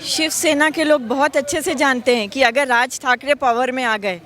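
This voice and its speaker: Female interviewee, high-pitched, assertive, giving opinion on the street. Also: normal speed, a bit bright, a faint crowd.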